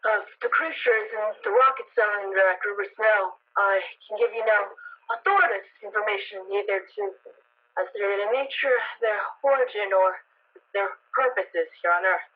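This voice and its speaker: A woman acting a grave, scholarly professor in a radio drama, speaking in measured, halting, formal phrases. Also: medium pitch, natural voice. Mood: grave, speculative. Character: grave, scholarly astronomy professor giving conjecture. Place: living room at home. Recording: thin phone-like radio line.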